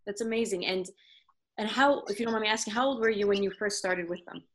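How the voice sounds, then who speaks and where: A woman's voice: medium pitch, quick pace, fairly bright tone. A woman hosting a conversational interview in an encouraging, affirming manner. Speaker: interviewer, host.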